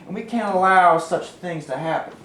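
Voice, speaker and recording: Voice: mid-range voice, normal speed, a bit bright, natural voice. A performer playing a governor's earnest, stubborn son in a staged play reading. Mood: defiant. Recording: echoey room, distant, faint noise.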